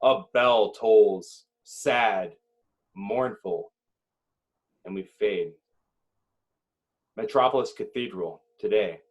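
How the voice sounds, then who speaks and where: A man reading screenplay directions as dramatic narration at a table read, with deliberate phrasing and short pauses. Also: fairly low pitch.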